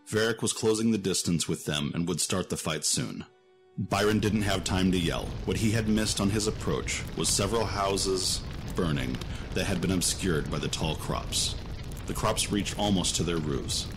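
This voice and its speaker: A man reading scripted narration for a fantasy adventure story. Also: sits low. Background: music.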